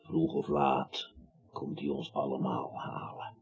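An actor playing a placating, slightly slow-witted vigilante in a comic radio play, soothing and puzzled, with a husky voice. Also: male voice, extremely low pitch, flat delivery, darkish tone, a little hiss or hum, full character voice. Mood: soothing, pitying. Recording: dull lo-fi radio recording.

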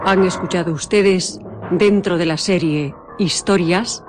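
A woman's voice: fairly low pitch, unhurried. Formal female radio announcer over music. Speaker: radio announcer reading credits. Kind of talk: formal scripted credits announcement.

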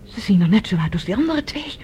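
A woman's voice: mid-range voice, sing-song, fast, darkish tone, natural voice. An actress playing a wary, sceptical woman in a science fiction radio drama, questioning and concerned. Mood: worried. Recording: faintly noisy radio play recording.

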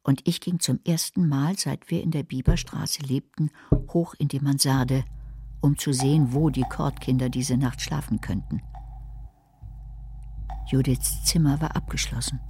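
A woman's voice: a bass voice, steady tone, unhurried, dark tone, breathy edge, exaggerated voice. An actress playing a wary, dry female narrator in a radio crime drama. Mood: tense, dry.

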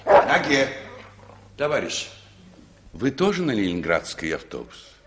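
An actor playing a genial, unhurried older man travelling with his dog in a radio play. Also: medium pitch, big pitch swings, very noisy. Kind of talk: 1960s Soviet radio play acting.

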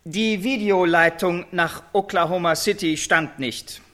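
A radio-play prison warden at a press briefing. His voice is high and sharp, and he delivers each formal phrase slowly and with measured official care. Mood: solemn, official.

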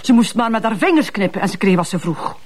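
A woman's voice: medium pitch, expressive, fast talker, a little mellow, close to an everyday voice. Prim, stubborn old Flemish housekeeper played by a woman. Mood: loyal, indignant. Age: older. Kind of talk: naturalistic TV drama dialogue.

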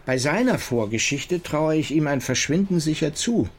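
An actor playing a brisk, sceptical, commanding male lead investigator in a radio drama. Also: fairly high pitch, expressive, slight background noise, close to an everyday voice.

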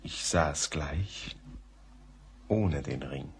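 An actor playing a grave, reflective gentleman who narrates a German radio play in a measured, often hushed way. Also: a bass voice, vocal fry, exaggerated voice. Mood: reflective, sombre. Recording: faint background noise.

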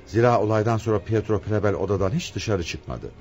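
An actor playing a shrewd, probing police inspector in a Turkish radio play, inquisitive and deliberate. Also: male voice, fairly low pitch, a little mellow, lightly acted. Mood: analytical. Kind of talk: Turkish radio theatre acting.

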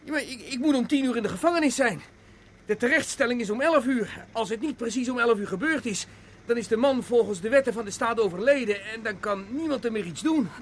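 Nervous high-voiced executioner, radio drama. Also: male voice, fairly quick. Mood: pleading, urgent. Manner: hesitant, stammering, self-justifying. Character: nervous, defensive executioner, a lonely drinking outcast. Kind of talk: radio play acting. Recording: noisy radio play recording, car sounds. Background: car engine and road noise.

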